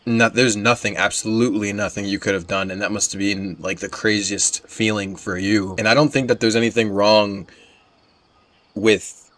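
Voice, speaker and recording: A man's voice: on the low side, steady tone, moderate pace, fairly bright tone. A man giving casual, slangy and candid reaction commentary as he plays a video game. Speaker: gamer reacting to a game playthrough. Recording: game music behind the voice. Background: video game music.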